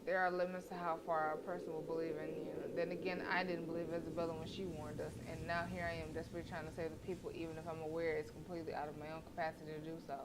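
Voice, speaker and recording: A woman's voice: sits low, level tone, very fast, few pauses. A woman livestreaming a horror visual novel, reading the game text aloud casually, stumbling and correcting herself as she goes. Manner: casual, stumbles over words and self-corrects. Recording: noisy livestream microphone.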